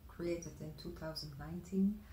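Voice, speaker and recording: A woman guest from the Netherlands answering interview questions in a measured way, with frequent hesitations. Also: lowish voice, fairly even intonation, warm tone, slight background noise. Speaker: guest, foundation founder. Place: room at a wooden table. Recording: roomy room sound.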